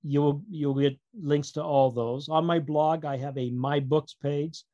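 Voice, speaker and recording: A man speaking casually as an interview guest, in a halting, digressive way with wry humor. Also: fairly high pitch, steady tone, mellow, dry recording, muffled recording. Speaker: guest author and producer.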